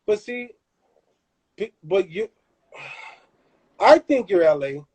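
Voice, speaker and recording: A young man talks in a casual live chat, animated and emphatic, often restarting and repeating words. His voice sits a little high, with a mellow, relaxed tone. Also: very slow, breathy edge. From Southern California. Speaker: host.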